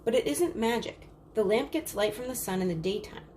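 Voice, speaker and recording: A woman's voice: fairly high pitch, brisk, a little mellow, slight background noise, pitched above the performer's own voice, close to an everyday voice. A woman voicing a practical older sister, a young girl, in a storybook, earnestly explaining things.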